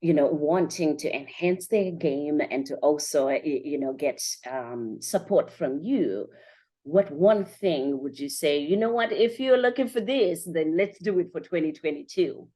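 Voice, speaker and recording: A woman's voice: deep voice, unhurried, mellow. A woman hosting a podcast interview, putting questions to a guest and responding to the answers. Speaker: podcast host.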